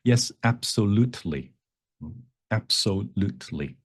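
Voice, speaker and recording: Low-voiced man giving an informal workshop. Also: lively intonation, slow pace, husky. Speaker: workshop presenter, font creator. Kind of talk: informal software demonstration, explaining.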